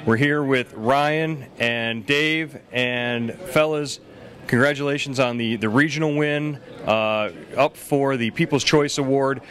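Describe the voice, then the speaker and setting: A man, hosting a casual food interview on a handheld mic in a pub, relaxed and chatty with lots of fillers. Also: medium pitch, slow pace. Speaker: food show host. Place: pub dining room, indoors.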